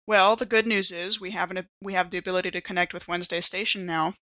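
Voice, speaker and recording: A woman's voice: fairly low pitch, level tone, quick pace, sharp tone, slight background noise. A woman role-playing conversationally as a player in a tabletop game, with composed, articulate phrasing.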